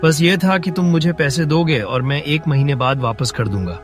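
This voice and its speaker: A man's voice: a little high, normal speed, soft background music, lightly acted. A man voicing a poor, honest village farmer in a cartoon story, plaintive, earnest and indignant. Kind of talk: Hindi cartoon moral-story voice acting.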